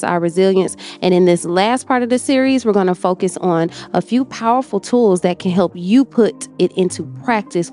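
A woman's voice: on the low side, darkish tone. A woman hosting a motivational livestream, talking affectionately to her viewers, repeating phrases and often checking in with "okay?". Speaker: host.

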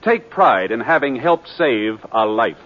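Formal American announcer on muffled old radio. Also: male voice, mid-range voice, animated. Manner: formal, earnest, measured enunciation. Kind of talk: 1950s scripted radio announcing and appeal. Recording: dull vintage radio broadcast recording.

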